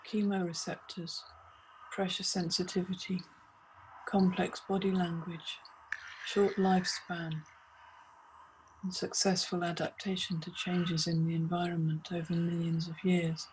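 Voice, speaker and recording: Female meditation guide, flat intonation, mid pitch, deliberate phrasing, faint noisy ambience behind the voice. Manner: measured, short deliberate phrases with pauses. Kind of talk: scripted guided meditation narration.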